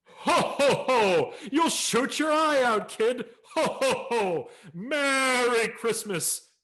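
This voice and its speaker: Give me a booming jolly old Santa, put on by a man, loud and high-pitched. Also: lively intonation, slow pace, heavily acted. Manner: booming, hearty ho-ho laugh.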